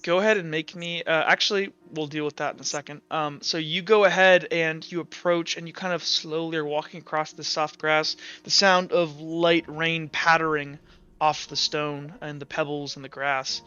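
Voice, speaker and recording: A man running a tabletop roleplay as game master, narrating in a halting, improvised, descriptive way. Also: fairly high pitch, steady tone, pushed and bright, clean tone, lo-fi sound.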